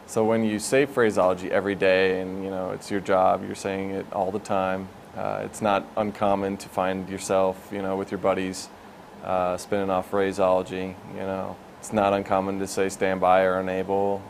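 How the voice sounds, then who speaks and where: A man's voice: low voice, completely flat delivery, normal speed, vocal fry. A man in his twenties explaining his work as a military air traffic controller in an informal, matter-of-fact interview. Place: indoors in a glass-walled control tower.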